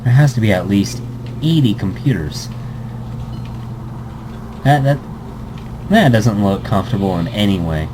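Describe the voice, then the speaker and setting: Low-voiced man reading a game aloud. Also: lively intonation, unhurried, pauses often. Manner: stumbles and restarts words, chuckling asides. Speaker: let's play host. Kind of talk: reading a visual novel aloud with commentary. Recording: air conditioning hum behind.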